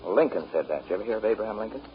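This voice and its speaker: A man plays a kindly, patient father in a 1930s radio drama. His speech is colloquial and brisk, swinging up and down in pitch. The old recording sounds dull, with some hiss. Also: animated, close to an everyday voice. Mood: patient, explanatory. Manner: colloquial, explanatory, deferential to authority.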